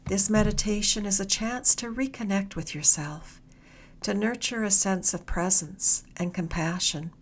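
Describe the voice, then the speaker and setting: A woman leading a guided meditation in a gentle, soothing, unhurried way, her soft voice turning slightly breathy at the ends of phrases. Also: medium pitch, a little hiss or hum. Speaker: meditation guide, mindfulness mentor.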